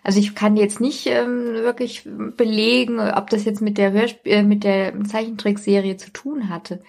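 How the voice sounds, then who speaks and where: A woman's voice: mid-range voice, level tone, moderate pace, gravelly, a little mellow. A woman talking casually on a podcast in a chatty, anecdotal way. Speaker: podcast co-host or guest.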